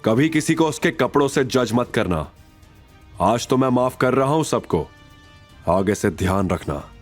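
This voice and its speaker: Man acting young male chairman, medium pitch, composed, measured, gently authoritative, audio drama. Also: animated, faint music behind. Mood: stern. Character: calm, magnanimous young male chairman hiding his wealth. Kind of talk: Hindi audio drama dialogue.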